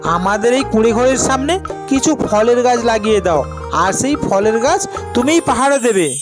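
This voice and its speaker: An earnest young monkey prince played by a male narrator speaks in a raised, high voice. He is dutiful, a storybook hero over music. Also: expressive, moderate pace. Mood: instructing.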